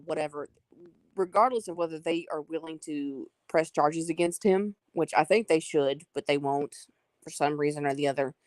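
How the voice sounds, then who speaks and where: A woman's voice: low voice, no echo. A woman with a Southern US accent, talking earnestly and apologetically in a casual online group chat. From Southern United States. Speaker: call-in guest.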